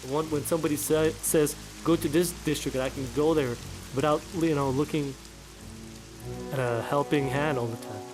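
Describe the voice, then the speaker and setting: A man giving casual let's play commentary, thinking aloud in a halting way. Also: fairly high pitch, fairly even intonation, over music.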